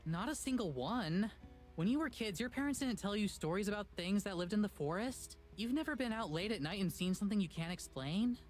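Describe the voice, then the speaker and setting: A woman's voice: medium pitch, lively intonation, brisk, darkish tone, soft background music, close to an everyday voice. An actress playing a persistent, upbeat young woman investigator in a visual novel, with a casual, coaxing manner. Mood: curious, probing.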